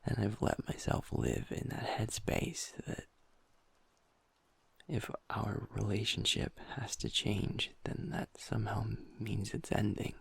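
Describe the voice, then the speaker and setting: A man voicing a gravelly, hushed werewolf boyfriend. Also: fairly low pitch, flat delivery, no room sound, full character voice. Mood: vulnerable, confiding. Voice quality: soft, half-whispered, confiding. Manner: halting, with pauses and restarts. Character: gentle young male werewolf boyfriend, usually upbeat, now downcast. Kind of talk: intimate audio roleplay.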